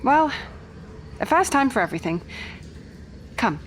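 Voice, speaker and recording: Woman playing a slow, gentle Victorian good Samaritan. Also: fairly high pitch, sing-song, slight background noise. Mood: kind, earnest. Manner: gentle, earnest, proper. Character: kind, pious working woman of Victorian London. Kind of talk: audio drama acting.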